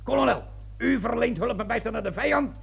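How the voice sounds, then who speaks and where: A man's voice: very high-pitched, fairly quick, vocal fry, breathy edge, lo-fi sound, faint music behind, full character voice. An actor playing a dim, stubborn army sergeant in a radio play, blunt, eager and insubordinate in his soldierly talk. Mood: defiant. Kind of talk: radio drama acting.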